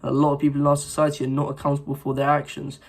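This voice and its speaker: A man's voice: on the high side, monotone, very fast, vocal fry. A young man with a sore throat from recent illness, giving an earnest, rambling motivational talk to his viewers with many fillers and restarts. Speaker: self-improvement vlogger. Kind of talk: casual motivational talk to camera.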